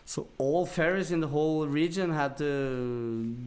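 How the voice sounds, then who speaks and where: A man's voice: a little high, measured pace, a little mellow. A man with a Norwegian accent telling stories casually as a podcast guest, in a rambling, blunt, dry-humored way. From Norway. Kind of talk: casual storytelling.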